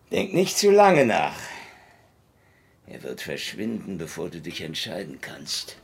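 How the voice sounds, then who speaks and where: An actor playing a grumpy old hard-drinking private detective in a hardboiled radio drama, sardonic and world-weary. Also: male voice, sits low, wildly swooping pitch, slow, long pauses, exaggerated voice. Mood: sardonic, dismissive. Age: older. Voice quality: gravelly. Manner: sardonic, grumbling, drawling. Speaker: lead actor and first-person narrator.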